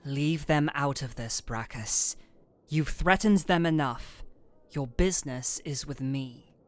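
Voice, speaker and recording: Slow, soft-spoken gentle fantasy doctor played by a man. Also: on the high side. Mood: guarded, defiant. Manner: soft-spoken, hesitant, often breathy and sighing. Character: gentle, weary, protective male fantasy village doctor. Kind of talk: intimate roleplay audio drama.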